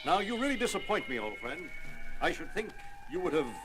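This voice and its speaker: A man playing a calm, articulate, patronizing scientist who leads a hero team in a comic-book audio drama. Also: on the high side, brisk, soft background music, rough recording. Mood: smug.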